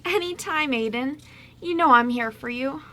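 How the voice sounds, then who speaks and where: A woman acts a sweet young woman in an amateur radio play. Her voice is high and bright, and she speaks as a gentle, encouraging best friend. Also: normal speed. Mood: supportive. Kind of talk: amateur radio drama script reading.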